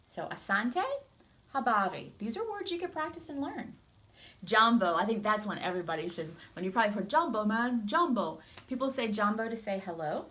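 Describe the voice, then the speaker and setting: Animated woman teacher reading to children. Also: medium pitch, a little fast. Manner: expressive, instructive, simple explanations for children. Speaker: teacher reading a storybook aloud. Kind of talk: children's storytime reading with explanations.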